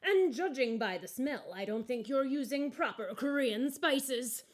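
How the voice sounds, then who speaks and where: Woman voicing an earnest little talking lump of coal, pitched up. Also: animated, measured pace. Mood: earnest challenge. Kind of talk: children's storybook character voice.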